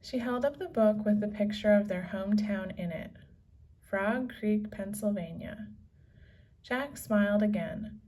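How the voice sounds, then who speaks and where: A woman reading a children's story aloud as narrator, with measured, deliberate phrasing. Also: mid-range voice, dark tone. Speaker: storybook reader. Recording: slightly distant from the microphone.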